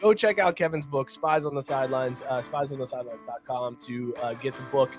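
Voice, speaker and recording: A man's voice: medium pitch, fairly quick, over music. A man hosting a podcast in casual conversation. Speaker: podcast host.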